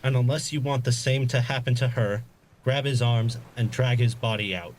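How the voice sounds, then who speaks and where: A man's voice: mid-range voice, steady tone, normal speed, a little hiss or hum, natural voice. Man acting a cold, menacing armed hijacker. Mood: cold threat. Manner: curt, commanding, threatening. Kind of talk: audio drama acting.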